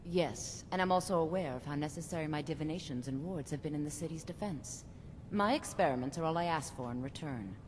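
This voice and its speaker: A woman's voice: low voice, fairly quick, noisy background, close to an everyday voice. A woman playing a haughty, imperious court mage in a fantasy game, condescending and defiant. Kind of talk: fantasy game character acting. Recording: game music behind.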